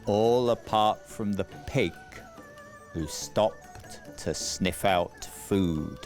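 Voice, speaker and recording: Slow, measured male storyteller over music. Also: low voice, darkish tone. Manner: measured, deliberate, dramatic phrasing. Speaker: narrator. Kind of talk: scripted children's storytelling narration.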